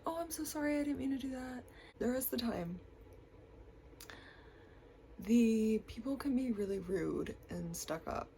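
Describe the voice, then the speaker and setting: A woman's voice: fairly high pitch, gravelly, mellow, a little hiss or hum. A young woman with a stuffy, congested voice from a cold, chatting casually to her camera with lots of likes and ums. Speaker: vlogger.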